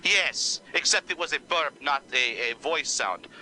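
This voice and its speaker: A man voicing an exasperated female Spanish teacher who swears, complains and uses broken grammar, with a low voice for a woman. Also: expressive, measured pace, bright, forceful tone, a little hiss or hum, heavily acted. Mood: complaining. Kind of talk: one-man comedy skit.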